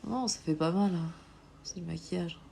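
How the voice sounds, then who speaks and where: A woman with a cold giving a live makeup tutorial on a phone stream, hesitant with many filler pauses, sometimes dropping to a whisper while she works. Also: on the low side, creaky, mellow, slight background noise. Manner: drops to a whisper while applying. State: has a cold. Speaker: makeup livestreamer.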